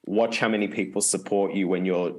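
Low-voiced man, casual rambling. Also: steady tone, brisk, a little mellow. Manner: rambling, frequent "like" fillers, open and earnest. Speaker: podcast co-host. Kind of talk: casual conversation.

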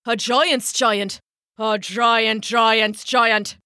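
Woman voicing a male giant, gruff, blustering, boastful, cowardly, bright edge, dry close sound. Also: a little high, steady tone, extremely slow, no echo, full character voice. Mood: awed.

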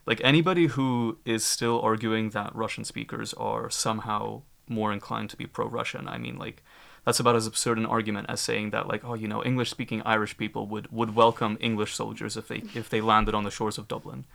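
A man's voice: on the low side, level tone, a little fast, vocal fry, few pauses, fairly bright tone. A man from Ukraine speaking as a guest on a discussion panel, candid and emphatic, often restarting his sentences.

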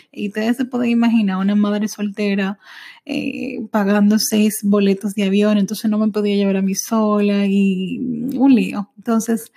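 A woman in her thirties shares her own life story on a podcast she records at home. Her voice is mellow and warm, at an ordinary middle pitch. She talks intimately and reflectively, often pausing on a soft "eh". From United States. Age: 30s. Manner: intimate, reflective, frequent "eh" pauses. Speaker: podcast host. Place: small home apartment. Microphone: studio microphone.

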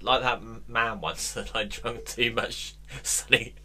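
A man co-hosting a casual football podcast, chiming in with quick agreement and frequent laughter. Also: medium pitch, steady tone, bright, forceful tone. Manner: quick agreeing back-chat, laughs often. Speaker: podcast co-host.

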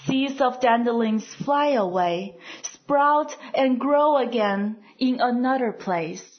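A woman reads her poem very slowly, her voice slightly breathy and a little high. She lets each line settle before the next. The recording is a bit dull and lo-fi. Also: lively intonation. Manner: soft, deliberate phrasing with pauses between lines. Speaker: poet reading her own poem. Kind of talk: slow, measured poetry reading.

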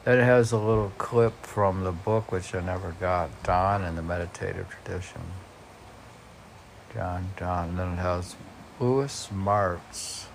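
Male reader, unhurried and gravelly, musing informally aloud, slight background noise. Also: low-pitched, steady tone, rough recording. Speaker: podcast host reading and commenting on poems. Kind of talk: informal read-aloud with casual musing commentary.

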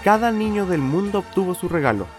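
A man narrates a radio story in a colloquial way. He has a Chilean accent and a slightly high voice. Music plays clearly underneath. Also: lively intonation, brisk.

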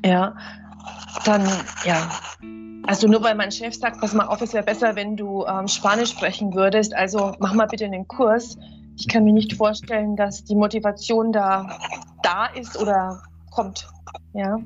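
A woman's voice: mid-range voice, flat delivery, unhurried. A woman sharing her views in a casual conversation, thoughtful and opinionated. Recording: lo-fi recording with faint music.

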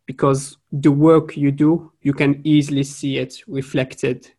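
A young man from Morocco, talking as a podcast guest in an unscripted way, pausing to search for words. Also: medium pitch, level tone, darkish tone. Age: young adult. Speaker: podcast guest, trading graduate. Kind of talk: casual podcast interview.

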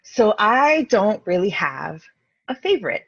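A woman's voice: mid-range voice, big pitch swings, measured pace, fairly bright tone, breathy edge. A woman guest on a podcast, chatting casually and laughing often over a video call. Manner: giggly, playful, puts on silly voices. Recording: dull lo-fi call audio.

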